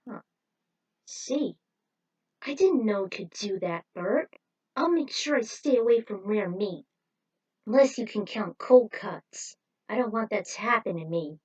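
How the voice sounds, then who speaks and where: A woman's voice: mid-range voice, lively intonation, measured pace, pauses often, darkish tone, slightly rough, recorded from a distance, poor audio. A woman voicing an eager young male racer in an informal, exclaiming way for a story. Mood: sheepish.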